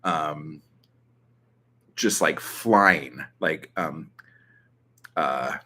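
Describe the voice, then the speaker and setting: A man's voice: very deep voice, drawn-out words, bright, forceful tone. A young man from Southern California with that accent, chatting casually in a vlog, rambling with plenty of ums and likes and the odd swear. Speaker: channel host answering viewer questions.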